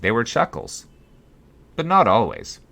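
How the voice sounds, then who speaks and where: A man reading his own first-person story as an audiobook, with measured, deliberate phrasing. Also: mid-range voice, huge pitch swings, creaky, pushed and bright. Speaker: author reading own book.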